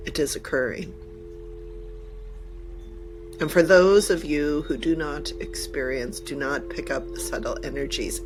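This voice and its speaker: Female meditation guide, markedly slow and deliberate, formal phrasing broken into short pieces, soft music and chimes behind. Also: sits low. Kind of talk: guided meditation, channeled message.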